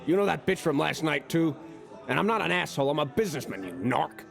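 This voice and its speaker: A man's voice: fairly high pitch, big pitch swings, fast talker, vocal fry, over music. An actor playing a crude, suspicious mob boss in an audio drama, threatening and sneering in slangy tough-guy talk. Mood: hostile.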